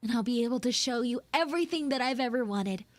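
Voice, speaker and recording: A woman voicing a bratty, dramatic tsundere anime girl in a live improvised audio roleplay, theatrical and whiny, with drawn-out words. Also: a little high, lively intonation, close to an everyday voice. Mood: rebellious, dramatic. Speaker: streamer, voice actor.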